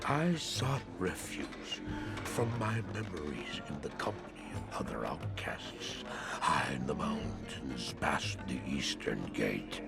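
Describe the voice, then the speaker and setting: An actor playing a haunted, guilt-ridden, half-mad man in a game cinematic, halting and fearful, repeating himself. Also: extremely low pitch, sing-song, gravelly, full character voice. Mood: haunted, weary. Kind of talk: dramatic video game cinematic monologue. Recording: loud music behind the voice. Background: cinematic music.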